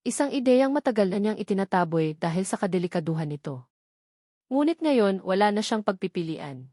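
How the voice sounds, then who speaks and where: A woman's voice: mid-range voice, very animated, fairly quick, dark tone, no echo, clean studio sound. A woman with a Filipino accent reading a scripted story as a dramatic narrator.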